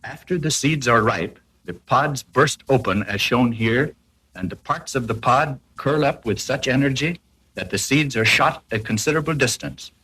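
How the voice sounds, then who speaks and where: A man with a husky, slightly rough voice at a middling pitch narrates an educational film in 1940s style. He reads from a script formally, explaining each point carefully like an instructor. The sound is that of an old film soundtrack from the period. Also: moderate pace, pushed and bright, rough recording.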